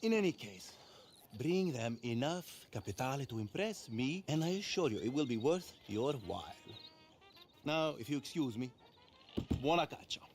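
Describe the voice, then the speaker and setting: An actor voicing a refined, menacing crime boss with an Italian accent, speaking formally and imperiously in a game cutscene. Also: male voice, mid-range voice, animated, normal speed, darkish tone, a little hiss or hum, natural voice. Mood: smooth, calculating. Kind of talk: video game cutscene acting.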